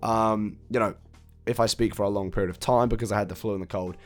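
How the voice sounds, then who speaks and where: Man, hoarse raspy voice, somewhat low-pitched, casual tutorial narration, counts out blocks, faint music behind. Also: steady tone, fast talker. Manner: chatty, counts blocks aloud, laughs off his own mistakes. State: hoarse, croaky after a cold. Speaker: video game building tutorial host. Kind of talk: casual step-by-step gameplay walkthrough. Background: faint background music.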